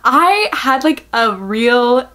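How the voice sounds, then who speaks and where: A woman's voice: fairly high pitch, expressive, extremely slow, pushed and bright, a little hiss or hum. A young woman talking casually as a book vlogger, in a rambling, self-deprecating, giggly manner. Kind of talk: casual chatty vlog.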